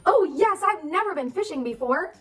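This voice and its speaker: A woman voicing an excited, helpful young girl in a storybook, eager and full of questions. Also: extremely high pitch, normal speed, faint music behind, voice pushed up. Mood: delighted.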